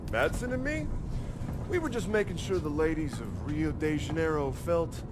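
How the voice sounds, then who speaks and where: An actor playing a cocky, flirtatious fireteam leader in a game film, with an informal, swaggering manner. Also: male voice, on the high side, lively intonation, normal speed, heavy background noise, close to an everyday voice. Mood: teasing, boastful. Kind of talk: cinematic game acting. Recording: music behind dialogue.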